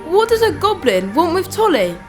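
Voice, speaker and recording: Woman as an earnest, high-voiced young schoolboy. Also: sing-song, normal speed, soft background music. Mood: puzzled. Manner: earnest, questioning.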